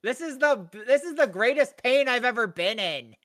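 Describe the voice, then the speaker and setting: A man's voice: very high-pitched, moderate pace, no room sound, clean studio sound. A man co-hosting a casual podcast, reading a folklore excerpt aloud and cracking jokes between the lines. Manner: jokey, animated reading with lively asides. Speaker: podcast co-host.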